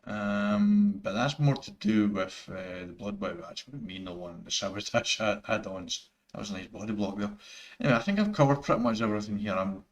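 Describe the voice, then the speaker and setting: Man, thick Scottish accent, lowish voice, rambling gameplay commentary, repeats himself. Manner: earnest, opinionated. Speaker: gaming YouTuber. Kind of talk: opinion commentary over gameplay footage.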